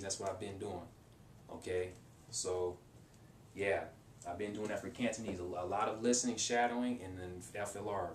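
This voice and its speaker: Casual young man, rambling, off-mic. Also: far from the mic. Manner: rambling, with many restarts and fillers. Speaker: language-learning video maker. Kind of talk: casual advice vlog to camera. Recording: roomy echo, off-mic, faint rumble.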